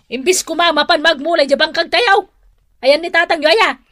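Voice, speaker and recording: High-pitched nagging old Ilocano mother. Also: normal speed, fairly bright tone, dry recording. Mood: scolding. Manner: scolding, complaining. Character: nagging, grumbling elderly mother of the household. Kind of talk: comedic radio drama acting.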